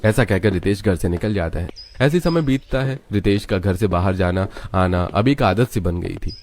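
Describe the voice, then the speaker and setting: A man's voice: low-pitched, animated, moderate pace, darkish tone. A man narrating a scripted story as the narrator of a cartoon. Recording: music under the voice.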